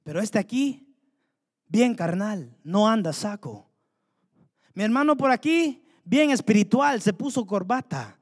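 A man's voice: high voice, expressive, a little slow. A man preaching a sermon, fervently asking the congregation rhetorical questions. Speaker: pastor. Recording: long hall reverb.

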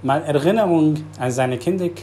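A man from Syria, speaking haltingly in German about missing his mother tongue, searching for words. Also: a little high, mellow, slight background noise. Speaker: interviewee. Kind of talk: personal testimony.

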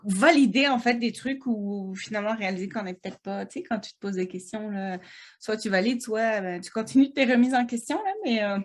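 A woman's voice: mid-range voice, brisk. A woman with a Québécois accent co-hosting a casual podcast, chatty and giggly, with plenty of fillers. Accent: Québécois French. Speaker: podcast co-host. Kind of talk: casual chat.

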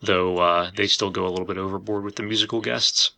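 A man with a low, gravelly voice that still cuts through very bright and forceful. He hosts a casual podcast discussion, phrasing his points in a measured, hesitant way. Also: steady tone. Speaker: podcast host.

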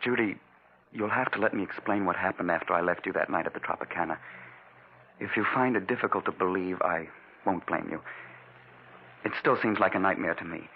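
A man's voice: sits low, a little fast, creaky, long pauses, slightly rough, full character voice. An actor playing a troubled, earnest man in a 1940s radio drama, heard on a muffled, noisy old recording. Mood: earnest, insistent.

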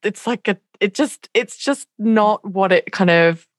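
A woman's voice: mid-range voice, unhurried, dry recording, studio quality. A woman co-hosting a book podcast, talking in a casual, hesitant way with many fillers and restarts. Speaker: podcast co-host. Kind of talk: casual literary discussion.

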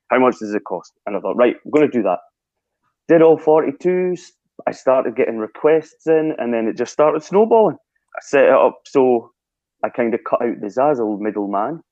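A Scottish man, a guest telling lively stories in a casual interview and acting out his own past thoughts. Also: mid-range voice, dry recording, lo-fi sound. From Scotland.

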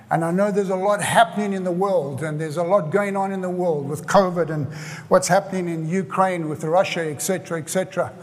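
An older man from South Africa with a South African accent, preaching a sermon in an earnest way and repeating phrases for emphasis. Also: high-pitched. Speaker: pastor. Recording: hall reverb.

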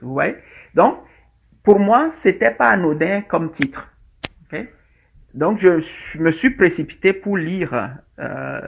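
Middle-aged guy presenting, gravelly voice, lots of euh and restarts, dull lo-fi sound. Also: a little high. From Togo. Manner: hesitant, many fillers and restarts. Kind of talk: presentation talk.

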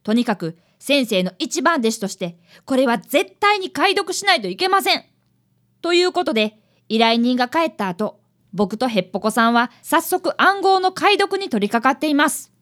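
A woman's voice: sits high, sing-song, moderate pace, a bit bright. A woman voicing an eager fifth-grade boy, an apprentice detective, in a radio drama. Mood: earnest narrating. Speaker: actor and narrator in a radio drama. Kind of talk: radio drama acting and narration.

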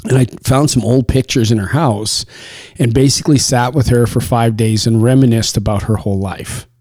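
A middle-aged man in casual conversation, reflective and quick, often saying "you know". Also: medium pitch, steady tone, a little mellow, dry recording.

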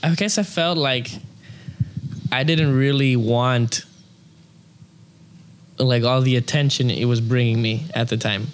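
A man's voice: mid-range voice, fairly even intonation, a little slow, long pauses, a little hiss or hum. A young man who sings opera, talking casually in an interview, rambling with many fillers. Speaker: opera singer, interview guest.

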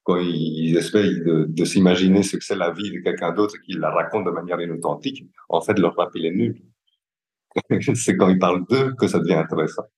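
Male interviewee, Belgian French accent, low voice, care professional explaining thoughtfully with searching pauses. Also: darkish tone, poor audio. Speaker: youth psychiatric care worker, interviewee. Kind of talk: reflective spoken interview.

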